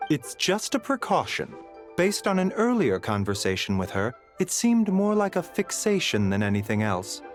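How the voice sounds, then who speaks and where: Game role: polite male physician, measured and gentle, very varied intonation, light background music. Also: fairly high pitch, big pitch swings. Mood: courteous, calm. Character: gentle, unhurried, courteous male doctor. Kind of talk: scripted video game dialogue.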